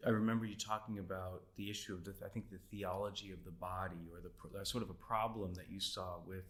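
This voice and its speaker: A man interviewing a guest, asking questions in a relaxed way. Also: sits low, fast talker. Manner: brief listening sounds, relaxed questions. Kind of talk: casual interview chat.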